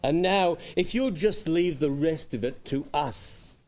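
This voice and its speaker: An actor playing a busy, unconcerned police sergeant, brisk and condescending, in suspense radio drama style. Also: male voice, a little high, a little mellow, close to an everyday voice. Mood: dismissive, placating. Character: dismissive, busy police sergeant on desk duty.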